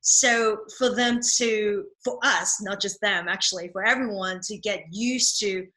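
Bright-voiced Cantonese-speaking woman on a video call. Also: mid-range voice, a little slow. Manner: thoughtful, lots of ums and restarts, laughs easily. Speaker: dance movement therapist, guest. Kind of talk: casual interview over video call. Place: small room at home. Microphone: video call webcam mic.

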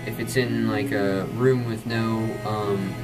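A man in his twenties with a low voice, explaining drum tuning in a rambling, casual way with many ums, recorded in a small padded bedroom on a camera mic. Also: fairly even intonation, takes it slowly, over loud music. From Florida, USA. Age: 20s. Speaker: drum instructor. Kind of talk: casual tutorial. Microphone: camera mic from a few feet away.

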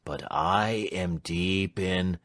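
A man playing a moody, impatient gentleman client in a storybook reading, with a languid, sardonic manner. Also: low-pitched, very slow, exaggerated voice. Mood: confessing. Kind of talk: dramatised reading of classic fiction.

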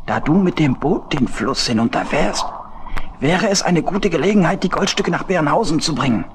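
An actor playing a secretive, well-off water-mouse boat builder in a children's audio play, hesitant and conspiratorial. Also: male voice, on the high side, lively intonation, moderate pace. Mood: confiding, worried. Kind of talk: German children's radio play acting. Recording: noisy old audio play record.

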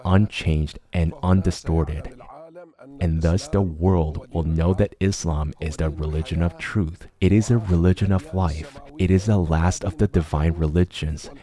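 A man reading a scripted religious talk as a voice-over translation, in a measured, formal manner. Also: low-pitched, steady tone, mellow.

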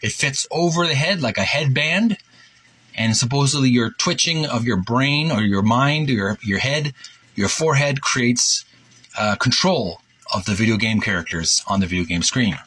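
A man hosting a retro gaming video, reading a book aloud in a halting, deliberate way with casual commentary. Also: mid-range voice, expressive, a bit bright, husky, low-quality recording. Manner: halting, deliberate, repeats himself.

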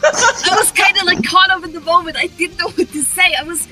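A woman's voice: extremely high pitch, a little fast, pushed and bright, soft background music. A woman voicing a cocky but easily flustered young male hedgehog hero, rambling casually in an improvised comedy fandub. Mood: sheepish.

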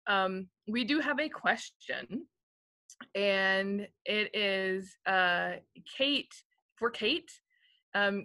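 A woman moderating an online author panel, speaking in a measured way and putting questions to the authors, with frequent ums. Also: medium pitch, vocal fry, sharp tone. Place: home room, video call.

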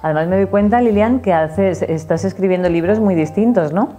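A woman's voice: mid-range voice, a little fast, warm tone, soft background music. A middle-aged woman with an accent from Spain, hosting a casual interview. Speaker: TV host. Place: small TV studio set, indoors.

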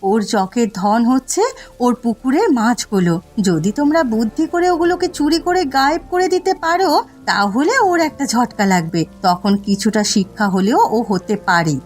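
A woman's voice: a little high, animated, normal speed, darkish tone, natural voice. A woman voicing a shrewd, caring village wife in a cartoon, coaxing and concerned, with music and rain behind. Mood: advising. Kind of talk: cartoon voice acting.

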